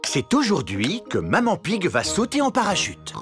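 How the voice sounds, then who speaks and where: Very animated cartoon narrator. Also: male voice, sits high, normal speed, loud music behind the voice. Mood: cheerful narrating. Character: cheerful adult storyteller of a children's cartoon.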